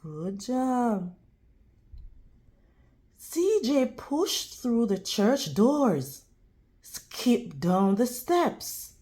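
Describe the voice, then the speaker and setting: A woman reading a children's storybook aloud like a teacher, deliberate and instructional toward young listeners. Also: a little high, big pitch swings, dark tone. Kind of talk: children's storybook read-aloud with prompting questions.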